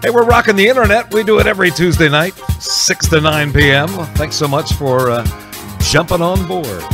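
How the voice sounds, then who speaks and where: A man hosting an oldies radio show, chatting casually and folksily between songs with frequent pauses. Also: a little high. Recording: music playing under the voice.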